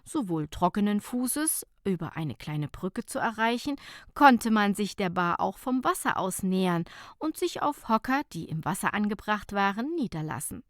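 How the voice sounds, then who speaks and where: A woman narrating a light short story as an audiobook, in measured, clearly phrased storytelling. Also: medium pitch, lively intonation, dry recording, studio quality.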